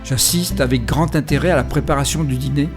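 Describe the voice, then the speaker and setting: A man calmly telling the story of his cycling trip in the first person, with a reflective, storytelling way of speaking. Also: mid-range voice, brisk, music in the background. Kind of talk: first-person travel narration. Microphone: voice-over recorded separately.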